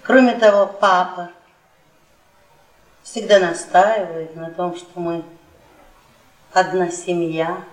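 An actress playing an embittered, seductive middle-aged professor's wife, mocking and domineering in a theatrical radio-play manner. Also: female voice, lowish voice, fairly even intonation, slow pace, pauses often. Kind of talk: Soviet radio theatre acting. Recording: roomy echo, off the mic.

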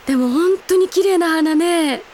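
High-voiced, yearning elder sister. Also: female voice, measured pace, darkish tone, a little hiss or hum. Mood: teasing, playful. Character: grown daughter and elder sister, teasing, yearning for lost parents. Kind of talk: Japanese anime audio drama acting. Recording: studio drama with music behind.

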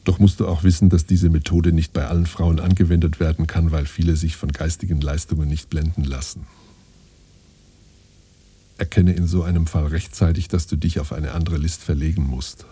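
A solemn patriarch lecturing his son in a radio play. The voice is extremely low and dark, with a heavily husky, breathy grain. He speaks in pompous, old-fashioned commands. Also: level tone, normal speed. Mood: solemn, admonishing. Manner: pompous, archaic, instructing in imperatives. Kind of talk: scripted radio play monologue.

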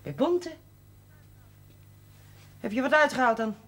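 An actress playing a grumbling, bossy priest's housekeeper in a television period drama, blunt and scolding. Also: female voice, sits high, animated, slow, a bit bright, full character voice. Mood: gruff, dismissive. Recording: noisy old television drama soundtrack.

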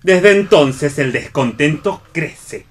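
An actor playing an officious, scornful park guard in a radio play, declaiming with authority in an Argentine accent. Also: male voice, a little high, lively intonation, takes it slowly. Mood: scornful, preachy. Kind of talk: theatrical read radio play.

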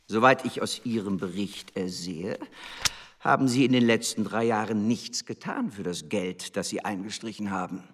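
An actor playing an arrogant, scornful embassy official in a German radio drama, haughty and lecturing. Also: male voice, fairly low pitch, normal speed, breathy edge. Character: arrogant, scornful male embassy official berating his spy.

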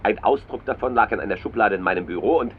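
An actor playing a rattled book publisher, hesitant and urgent, in a radio play. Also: male voice, quick pace, close to an everyday voice. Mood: anxious, urgent. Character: rattled male book publisher bringing bad news. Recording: dull phone call sound, faint rumble.

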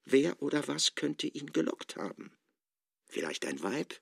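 A man playing a weary, brooding Roman governor with a sharp, commanding mind, in a literary radio drama. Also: on the high side, very animated, a little slow, creaky, husky, no echo, clean studio sound, voice pushed up, full character voice. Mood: probing, dry.

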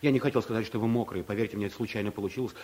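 Actor as a timid, flustered, quick-talking male clerk. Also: lowish voice, a little mellow, lightly acted. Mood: flustered, placating. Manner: meek, flustered, placating. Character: timid, bumbling, apologetic male office clerk. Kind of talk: radio comedy play acting.

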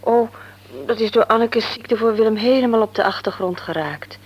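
An actress playing a worried young married daughter in a radio drama, earnest and slightly anxious. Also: female voice, on the high side, normal speed, breathy edge, lo-fi sound.

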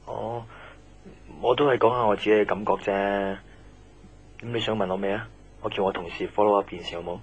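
An actor playing a guarded, work-bound young boyfriend in a Cantonese radio drama. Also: male voice, lowish voice, steady tone, brisk, long pauses, husky, dull audio. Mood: curt, defensive.

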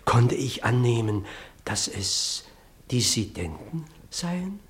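A man's voice: mid-range voice, very animated. An actor playing a flustered, pedantic parson who tells his dramatic story in in a radio play. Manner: fussy, dramatic, halting when agitated.

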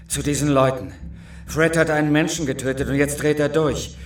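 An actor playing an uneasy, brooding amateur accomplice in a heist, anxious and questioning, in a radio crime drama. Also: male voice, a little high, steady tone, moderate pace, slight background noise, natural voice. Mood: bitter, brooding.

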